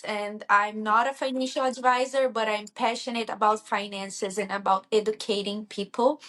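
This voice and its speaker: A woman co-hosts a podcast in English. Her fairly high voice sounds warm and earnest, and she laughs easily. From Brazil. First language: Portuguese. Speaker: podcast co-host. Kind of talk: casual podcast chat.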